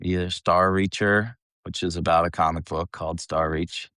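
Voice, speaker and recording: A man's voice: deep voice, fairly even intonation, moderate pace, sharp tone, clean tone, no room sound, studio quality. An American man who sings in a metal band, chatting casually and bluntly as an interview guest, telling anecdotes in slangy, sweary language. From eastern Pennsylvania, United States. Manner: blunt, profane, informal, slangy. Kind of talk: casual chat, anecdotal storytelling.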